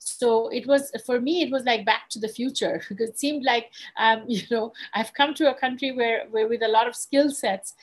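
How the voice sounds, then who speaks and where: A middle-aged woman from Bangladesh, a guest tech investor speaking in a measured way in an online interview and often restarting her words. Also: on the high side.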